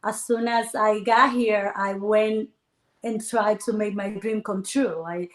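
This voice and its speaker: A woman from the Dominican Republic, a guest entrepreneur telling her story in a lively, animated conversational interview. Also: mid-range voice, takes it slowly. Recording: echoey room.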